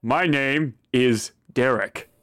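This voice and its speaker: Tabletop role: innocent little fish-folk boy, weirdly deep voice, dry close sound, a man plays him. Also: slow pace, fairly bright tone, no room sound.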